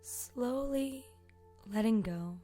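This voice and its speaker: A woman guides a meditation in a very slow, relaxed and mellow voice. She is soft and gentle, leaving long pauses and drifting toward a breathy near-whisper. Gentle music with singing bowl tones sits behind her. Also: on the high side. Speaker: yoga and meditation teacher.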